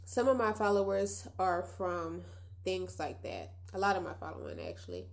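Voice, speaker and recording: A woman's voice: on the low side, very animated, normal speed, gravelly. A young woman with a nasal voice hosting a casual solo podcast, chatty and reflective, talking straight to her listeners. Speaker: podcast host.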